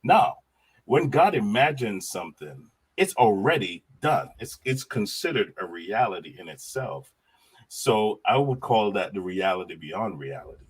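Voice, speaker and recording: A middle-aged man from Jamaica talks on a podcast in a husky, fairly low voice. His pitch swings widely as he gets animated, and he often repeats phrases. Laughter keeps slipping into his talk. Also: a little slow. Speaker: podcast guest. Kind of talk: casual faith conversation.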